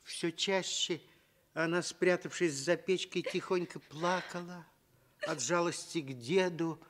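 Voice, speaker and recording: A man narrating a children's tale for radio with deliberate, expressive phrasing. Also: fairly high pitch. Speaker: storyteller.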